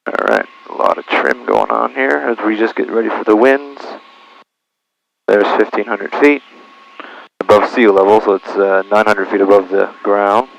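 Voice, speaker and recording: A man's voice: on the low side, fairly even intonation, normal speed, gravelly, a little hiss or hum, poor audio. A man piloting a small plane, narrating each procedure as he goes and making radio calls, heard through a cockpit headset. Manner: talks himself through checklists and procedures. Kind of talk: vlog narration of his flying and radio calls. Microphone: aviation headset.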